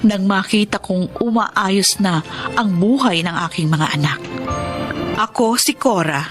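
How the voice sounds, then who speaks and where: A woman's voice: medium pitch, measured pace, a bit bright, faint music behind, close to an everyday voice. An actress with a Filipino accent playing a poor, devoted mother, earnest and humble, in a radio drama. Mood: relieved, reflective. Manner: earnest, humble and polite, pleading. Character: poor, devoted mother pleading to keep her children.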